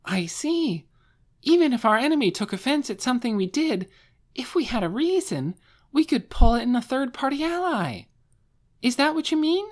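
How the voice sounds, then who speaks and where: A very high, shrill young girl, as a male narrator puts her on for a fantasy audiobook. She is fiercely loyal and excitable. Her lines burst out eagerly, with big swoops of pitch. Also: normal speed. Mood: eager admiring.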